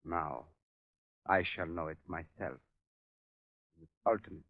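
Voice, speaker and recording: An actor playing a proud, embittered evil doctor in a 1930s radio drama, speaking formally and theatrically. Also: male voice, deep voice, takes it slowly, no echo, full character voice. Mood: bitter, grandiose. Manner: grandiose, formal, theatrical delivery. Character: proud, embittered evil scientist doctor bent on self-destruction. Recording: muffled, tinny 1930s radio recording.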